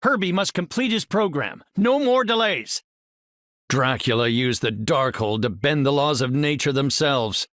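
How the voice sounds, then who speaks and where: Casting: earnest, brainy middle-aged superhero scientist, video game; formal, articulate delivery, very dry close recording. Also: male voice, mid-range voice, lively intonation, measured pace, fairly bright tone, no room sound. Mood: urgent, focused, then measured, explanatory.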